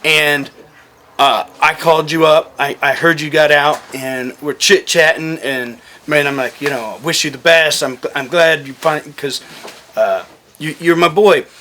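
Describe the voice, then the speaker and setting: A man telling casual war stories as a military veteran, animated and swearing freely, acting out quoted dialogue. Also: on the high side, unhurried, slight background noise. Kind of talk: casual storytelling, profane.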